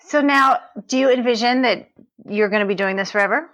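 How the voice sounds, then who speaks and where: A woman's voice: a little high, fairly quick, a bit bright. A woman interviewing a guest in a casual, conversational podcast style.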